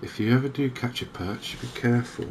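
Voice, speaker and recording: A soft-spoken man giving hesitant, measured ASMR-style commentary as host of a gaming video. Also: mid-range voice, poor audio. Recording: clear steady background noise.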